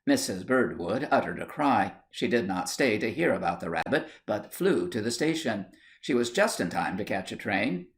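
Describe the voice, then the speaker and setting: Expressive male storyteller with lively pitch swings. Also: moderate pace. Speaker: audiobook reader. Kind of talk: scripted literary narration.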